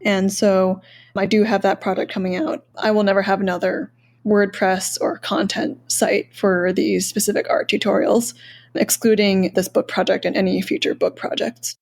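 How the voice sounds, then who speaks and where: A woman's voice: mid-range voice, flat delivery, normal speed, creaky, a little mellow. Earnest woman, business seller, methodical and proud. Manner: earnest, organized, lists points in order; self-promoting, proud of her work. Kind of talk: podcast interview answers.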